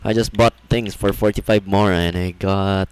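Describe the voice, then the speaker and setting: A male game streamer talks casually in a deep, smooth voice. He muses aloud with hesitant pauses, close on a streaming mic, with faint game music behind him. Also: fairly even intonation, darkish tone. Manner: musing, hesitant thinking aloud. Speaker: livestreamer, gamer. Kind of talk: casual live game commentary.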